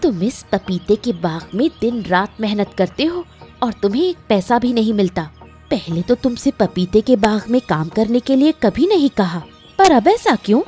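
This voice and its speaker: A woman voicing an emotional, calculating village wife in a Hindi cartoon moral story, with earnest, deliberate dramatic delivery. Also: a little high, sing-song, mellow, faint music behind. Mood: scornful, pushy.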